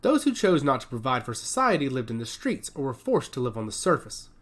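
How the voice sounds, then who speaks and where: Very animated male story narrator. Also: medium pitch, brisk. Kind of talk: dramatic scripted story narration.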